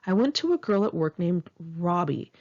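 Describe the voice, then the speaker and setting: A woman reading her own memoir as scripted audiobook narration, in a frank, emphatic and expressive manner. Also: lowish voice, normal speed, lo-fi sound.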